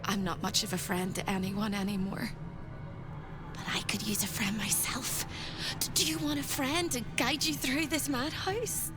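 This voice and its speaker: A woman's voice: on the high side, fairly even intonation, moderate pace, natural voice. An actress voicing a tormented, half-mad female spirit in a video game, fragile and eerie, speaking in theatrical riddles. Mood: urgent, manic. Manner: theatrical riddles, shifting between hushed pleading and singsong. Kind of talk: video game character acting. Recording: loud game music behind the voice.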